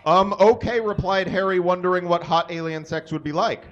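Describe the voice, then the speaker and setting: A man reads a story aloud for comedy from a small stage, in a voice slightly higher than most men's. He delivers it deliberately, stumbling over errors as written. The live recording sounds somewhat dull and muffled. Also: level tone. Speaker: live reader. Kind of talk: comic dramatic reading of fan fiction to an audience. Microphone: stand microphone on stage, filmed from a distance.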